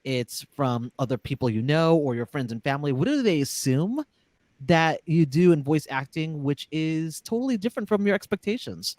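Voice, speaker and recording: Male host, slightly high pitch, conversational moderation, dry studio sound. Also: expressive, normal speed, a little mellow, no echo. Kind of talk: panel moderation.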